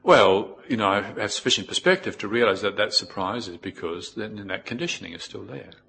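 A middle-aged man with a New Zealand accent giving an informal, reflective Buddhist talk in a measured way, with pauses. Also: fairly low pitch, lively intonation, creaky, pushed and bright, a little hiss or hum. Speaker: Buddhist monk, teacher. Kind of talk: informal spoken dharma talk with personal anecdotes.

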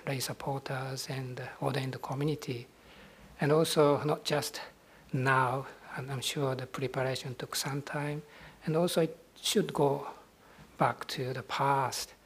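Soft, husky Japanese monk. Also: male voice, mid-range voice. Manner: soft-spoken, hesitant, with many pauses and fillers. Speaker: Buddhist monk. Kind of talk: dharma talk at a ceremony.

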